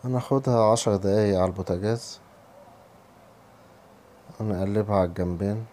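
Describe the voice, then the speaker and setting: A man with an Egyptian Arabic accent, hoarse from a cold, casually talking viewers through a recipe step by step in his home kitchen. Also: fairly low pitch, brisk, warm tone. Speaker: home cooking channel host. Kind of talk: cooking tutorial voice-over.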